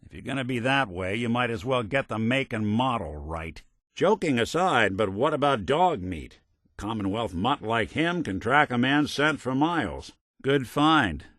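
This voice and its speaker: An actor playing a world-weary, wry but loyal male synth private detective in a video game, with a gruff, hard-boiled noir delivery. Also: mid-range voice, sing-song, measured pace, fairly bright tone, no room sound, studio quality.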